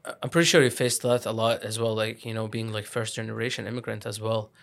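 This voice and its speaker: A young man from Iraq hosting a casual podcast conversation, talking fast with many restarts and fillers. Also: on the low side, steady tone, gravelly. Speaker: podcast host.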